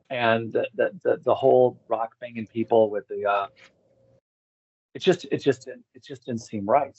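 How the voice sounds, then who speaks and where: A man's voice: mid-range voice, a little mellow, no echo. Man rambling through stories, dull dry recording. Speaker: interview guest. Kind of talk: casual rambling personal storytelling.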